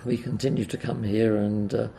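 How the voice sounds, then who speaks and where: An older man with a croaky voice, recalling the past in an interview, hesitating often with uhs and ums. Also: lowish voice, level tone, moderate pace, warm tone. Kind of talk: oral history reminiscence.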